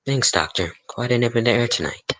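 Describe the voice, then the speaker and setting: A man's voice: mid-range voice, a little fast, fairly bright tone, lightly acted. A man playing a courteous radio announcer and host in an old-time radio drama, speaking in a polite, scripted promotional style. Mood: curious, friendly. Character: courteous, eager radio announcer and visiting interviewer.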